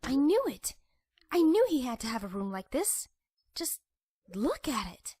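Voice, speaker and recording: A woman's voice: high-pitched, very animated, a little slow, mellow, slightly rough, no room sound, full character voice. A woman voicing an earnest, bookish young pony princess in a cartoon-style comic dub. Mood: eager, determined.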